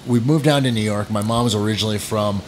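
A man's voice: on the low side, fast, a little mellow, a little hiss or hum. Rambling man telling stories as a guest. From New England, United States. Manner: rambling, self-deprecating, frequent fillers and restarts. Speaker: podcast guest, musician. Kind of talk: casual storytelling interview.

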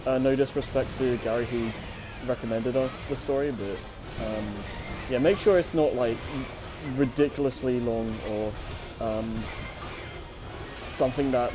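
A man co-hosting a show, chatting casually and unscripted in a hesitant, halting way. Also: medium pitch, fairly even intonation, over music. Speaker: co-host of a story-reading show. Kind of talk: casual unscripted chat over gameplay.

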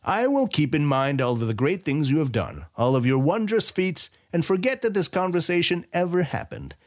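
A man voicing a commanding, shrewd army general who booms and mocks. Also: sing-song, moderate pace. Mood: patronizing. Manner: booming, declamatory.

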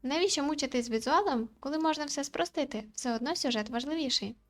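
A woman's voice: high voice, fast talker, soft background music, lightly acted. A woman voicing a cheerful, naive anime girl from a visual novel, explaining things in an earnest, theatrical manner.

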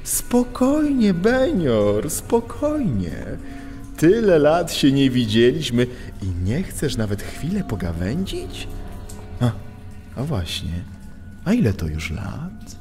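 A cocky animal master thief in a children's audio drama. He is smug and mocking, stretching words slowly with lively swoops. His voice rings in a long reverb over music. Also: medium pitch, heavily acted. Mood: mock-friendly. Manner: smug, mocking, drawn-out theatrical delivery.

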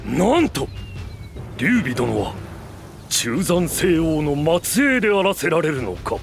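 An actor playing a grave, honourable bearded warrior in a video game, with solemn, archaic formal speech. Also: male voice, a little high, sing-song, slow pace, gravelly, music in the background, exaggerated voice. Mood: grave, respectful. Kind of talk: video game cutscene voice acting.

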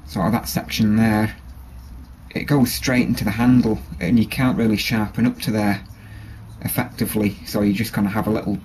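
Low-voiced man talking through a knife. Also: moderate pace, a little hiss or hum, rough recording. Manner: relaxed, hesitant with many ums and restarts. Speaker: bushcraft hobbyist showing a knife. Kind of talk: casual show-and-tell. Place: workshop with a grinder and workbench.

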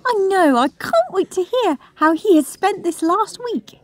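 A woman's voice: very high voice, sing-song, measured pace, no room sound, pitched above the performer's own voice, full character voice. A woman voicing a female primary school teacher who addresses children in a sing-song way in an audiobook. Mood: gushing.

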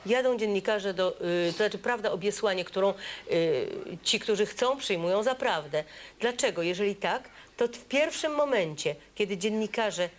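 An older woman on a TV studio panel, speaking in an animated, emphatic way. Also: medium pitch, normal speed. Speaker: panel guest.

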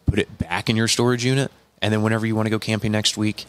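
Punchy low-voiced man pitching RVs. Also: flat delivery, fast, clear, resonant tone. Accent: Oklahoma. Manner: emphatic salesman's pitch with repeated punchy phrases. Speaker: podcast co-host at an RV dealership. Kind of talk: casual promotional chat. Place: small studio set indoors. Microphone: standing studio mic with headphones.

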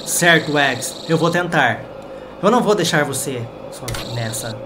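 A man's voice: on the high side, expressive, unhurried, background noise. A man with a Brazilian accent voicing a friendly, loyal young video game hero in a plain, earnest way. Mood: determined. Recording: loud game music behind.

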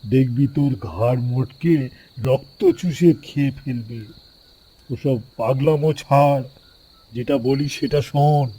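A man's voice: medium pitch, measured pace, dark tone, husky. A man voicing a weary, fretting older village father in a cartoon. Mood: alarmed scolding.

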